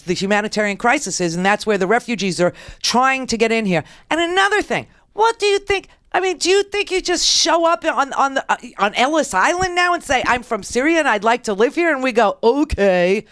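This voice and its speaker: A woman's voice: fairly high pitch, fairly bright tone, no room sound, clean studio sound. A woman hosting a political talk radio show, lecturing her listeners with brash sarcasm.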